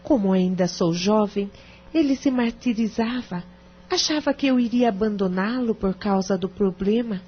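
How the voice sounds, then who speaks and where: A woman's voice: medium pitch, normal speed, slightly rough, natural voice. A Brazilian woman playing a distressed, sincere wife in an audio drama, speaking formally and earnestly. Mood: earnest, pleading. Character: distressed, sincere wife pleading her case to a lawyer. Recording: dull, muffled radio drama recording.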